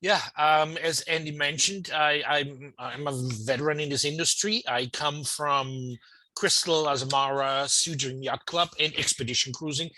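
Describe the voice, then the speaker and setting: A middle-aged man from Austria speaks with an Austrian accent in a relaxed, friendly way. His voice is bright and slightly forceful, and the sound is dry and close. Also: on the high side, normal speed, no room sound, poor audio. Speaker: cruise line vice president. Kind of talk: webinar introduction.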